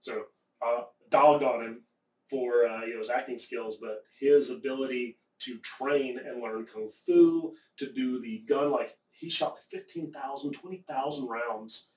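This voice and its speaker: A man from Appalachia in the American South talks in loose, rambling conversation, profane and quick to get animated. His voice sits in a middle register. The room is echoey, and he sounds a little far from the mic. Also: mid-range voice. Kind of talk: casual conversation.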